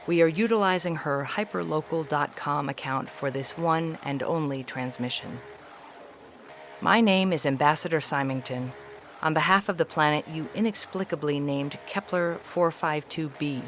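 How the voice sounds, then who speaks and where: A woman voicing a haughty, weary feline alien ambassador in a science fiction audio story, speaking in a formal, measured, articulate way. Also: sits low, slight background noise, close to an everyday voice. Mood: earnest wonder. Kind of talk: dramatic reading of an epistolary science fiction story.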